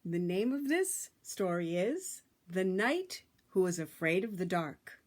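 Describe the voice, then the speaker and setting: A woman reading a picture book aloud to children, with dramatic, emphatic phrasing and drawn-out words. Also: lowish voice, sing-song.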